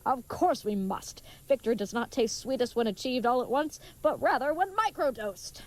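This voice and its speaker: A woman's voice: on the high side, expressive, moderate pace, close to an everyday voice. A woman playing a big, earnest, dim-witted young knight in an audio drama, speaking in florid, old-fashioned, chivalrous phrases. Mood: cheerful, courtly.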